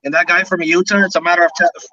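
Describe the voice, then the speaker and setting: A man's voice: sits high, little pitch movement, fast, background noise. A man in his thirties, a co-host of a casual chat show, talkative and curious, with loose, wandering phrasing. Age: 30s. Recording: dull lo-fi audio.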